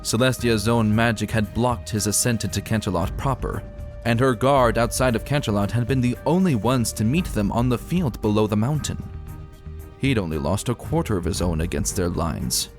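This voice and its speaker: Male narrator for dramatic fantasy reading: lowish pitch, serious, measured storytelling, light music underneath.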